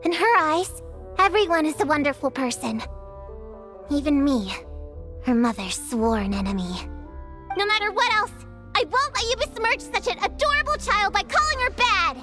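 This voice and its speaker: A woman's voice: extremely high pitch, big pitch swings, normal speed, sharp tone, exaggerated voice. A woman voicing a proud, feisty young girl witch hunter in a video game, indignant and blustering. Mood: earnest, defensive. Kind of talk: video game cutscene acting. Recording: music behind the voice.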